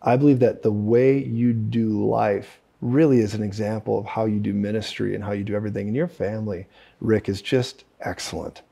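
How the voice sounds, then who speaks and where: A man's voice: lowish voice, fairly even intonation, a little fast, creaky, dark tone, slightly rough. A man in his forties hosting a casual broadcast interview in a studio, effusive and full of thanks. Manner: effusive, repeats praise and thanks. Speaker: host. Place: cozy studio set with armchairs.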